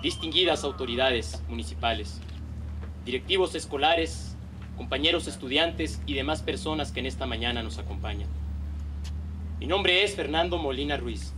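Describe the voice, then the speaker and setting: A young man in his late teens with a northern Mexican accent, giving a solemn, emphatic declamatory speech with deliberate rhetorical pauses. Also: mid-range voice, noisy background. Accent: northern Mexican Spanish. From Sonora, Mexico. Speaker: student orator.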